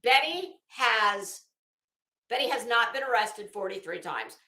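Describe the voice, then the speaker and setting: A woman with a bright, forceful, slightly high voice reads a document aloud and comments on it in a sardonic, incredulous way. Her delivery is unhurried and blunt, with casual swearing. The recording sounds roomy and echoing. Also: animated. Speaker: host of commentary show. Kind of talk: reading a court document aloud with running commentary.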